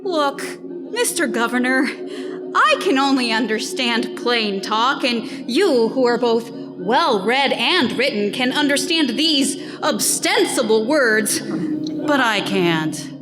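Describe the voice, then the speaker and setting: Proud, blustering small-town alderman female actor, theatrical comedy, high register, reverberant space. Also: expressive, takes it slowly. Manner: blustering, muddled with learned words. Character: proud, plain-spoken, dim-witted village alderman. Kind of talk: theatrical reading of a comic period play. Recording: reverberant hall, music behind.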